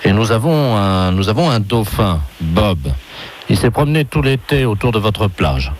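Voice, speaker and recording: A man making a prank phone call, speaking in a polite, official, deadpan manner. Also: lowish voice, normal speed. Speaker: prank caller posing as aquarium official. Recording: phone prank recording with faint noise.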